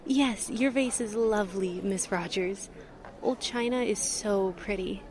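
A woman's voice: medium pitch, a little slow, darkish tone, natural voice. A woman playing a young heiress with a dry, mannered, languid delivery in a 1940s-style radio drama. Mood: dry, gracious. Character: cool young heiress bride-to-be.

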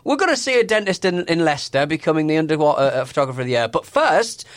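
A man's voice: a little high, big pitch swings, fast, no room sound. A British man co-hosting a podcast, chatting about television in a sweary, mocking, rambling storytelling manner. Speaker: podcast co-host. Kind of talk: casual comic chat about television.

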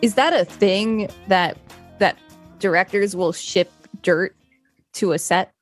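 A woman's voice: mid-range voice, slow pace, faint music behind. Dry-voiced woman podcast co-host. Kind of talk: reading aloud and casual chat.

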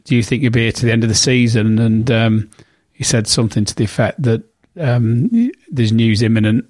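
Bloke co-hosting a podcast, keeps losing his words, mid pitch, dry close mic. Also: darkish tone, dry recording. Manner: halting, searching for words. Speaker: podcast co-presenter. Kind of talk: casual podcast chat.

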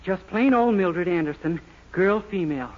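Cheerful naive young small-town clerk, American, boyish, high voice, self-pleased, faintly noisy old radio sitcom. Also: male voice, animated, moderate pace. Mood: eager. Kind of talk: 1940s radio situation comedy acting. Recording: muffled, noisy 1940s radio recording.

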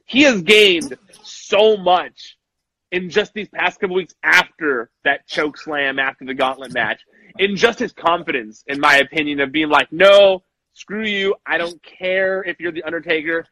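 Bright-voiced man chatting wrestling. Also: fairly high pitch, a little slow, no room sound, rough recording. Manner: animated, acts out quotes in voices. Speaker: podcast co-host. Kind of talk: casual wrestling chat.

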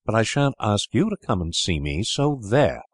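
A man's voice: fairly low pitch, very animated, normal speed, slightly rough, no room sound, studio quality, pitched above the performer's own voice, heavily acted. A man voicing a prim, haughty young girl who speaks disdainfully, in an audiobook reading. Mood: defiant.

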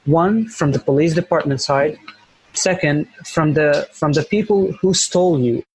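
A man's voice: a little high, unhurried, a little mellow, a little hiss or hum, low-quality recording. A young man from Syria with a Syrian Arabic accent, speaking English as a second language, talking earnestly and repeating phrases as he searches for words. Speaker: interview guest, volunteer teacher. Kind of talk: earnest conversational interview.

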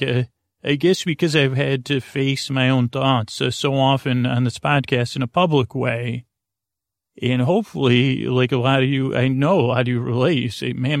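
A man with a gravelly, creaky voice hosts a bedtime podcast, drifting from thought to thought with plenty of fillers and false starts. His delivery is soft, self-deprecating and reassuring, and the recording is dry and close, with no room echo. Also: medium pitch. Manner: meandering and digressive, with many fillers and restarts. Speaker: podcast host. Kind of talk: rambling bedtime podcast monologue.

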